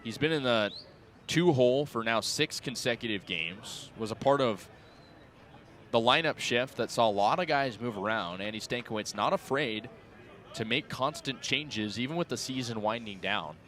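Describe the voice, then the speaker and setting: A man's voice: mid-range voice, moderate pace. A young man doing live radio baseball play-by-play in short, clipped, descriptive phrases, his energy following the action on the field. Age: young adult. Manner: clipped descriptive phrasing, rising excitement on plays. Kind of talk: live radio sports commentary.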